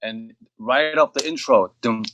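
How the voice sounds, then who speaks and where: A man speaking casually on a video call interview, telling stories about recording with a relaxed, rambling manner full of "you know". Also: medium pitch, a little slow, highs cut off, a little hiss or hum. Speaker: label head and producer guest.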